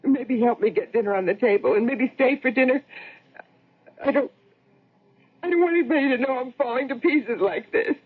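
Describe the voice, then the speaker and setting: A woman playing a fretful housewife and mother barely holding herself together in a radio drama, anxious, halting and pleading. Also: high voice, expressive, slightly rough. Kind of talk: old-time radio drama acting. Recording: tinny narrow-band old radio recording.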